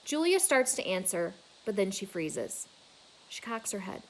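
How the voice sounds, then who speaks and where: A woman reading a children's novel aloud in an expressive, dramatic storytelling manner. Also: medium pitch, unhurried, a little hiss or hum, rough recording. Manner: dramatic, with emphatic exclamations and hushed asides.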